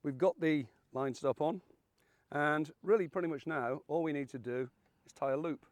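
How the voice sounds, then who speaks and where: A man around seventy, croaky and hoarse from illness, hosting a fishing channel and walking through a rig in a chatty, folksy, self-deprecating way. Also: a little high, moderate pace, no room sound. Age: 70s. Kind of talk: casual how-to demonstration.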